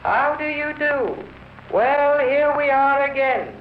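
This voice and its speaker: A performer playing a spirit guide at a seance in a 1950s radio drama, speaking slowly and formally on a tinny, echoey recording. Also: sits high, expressive, slight background noise. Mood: solemn, courteous. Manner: slow, formal go-between.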